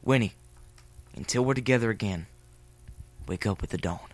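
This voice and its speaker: A man's voice: on the low side, normal speed, lightly acted. A man playing an ardent, pleading lovestruck boy in an audio drama, urgent and romantic. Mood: tender.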